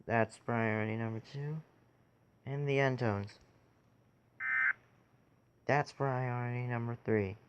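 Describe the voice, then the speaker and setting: A man giving a casual how-to tutorial in a halting way, pausing between short phrases. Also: medium pitch, fairly even intonation, lo-fi sound, noisy background. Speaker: tutorial video creator.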